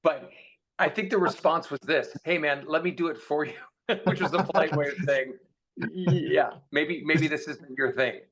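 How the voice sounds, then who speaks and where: A man's voice: on the high side, normal speed, fairly bright tone, rough recording. A man speaking casually as an author guest in a conversation, rambling a little, with a joking, self-deprecating way of talking.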